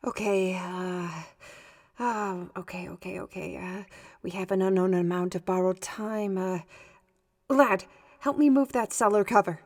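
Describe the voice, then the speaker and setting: Wry, commanding adventurer, female actor, mid-pitched and unhurried, shepherding youngsters in fantasy audio drama. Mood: focused, wry. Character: bossy, wry adult adventurer taking charge of youngsters.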